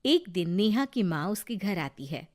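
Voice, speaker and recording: A woman's voice: mid-range voice, sing-song, measured pace, a little mellow. A woman narrating a scripted moral story as the storyteller.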